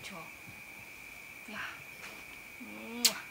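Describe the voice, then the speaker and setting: A man voicing a shy young newlywed wife, talking softly and coaxingly. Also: high-pitched, little pitch movement, very slow, gravelly, heavy background noise, voice pushed up, heavily acted. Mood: hushed. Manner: soft, hushed and coaxing.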